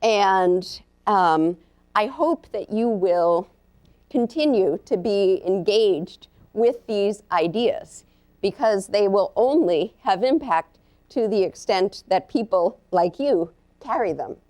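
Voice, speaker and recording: A woman professor giving a live lecture to an audience, earnest and halting, with many ums and uhs. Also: mid-range voice, animated, a little mellow. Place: lecture room.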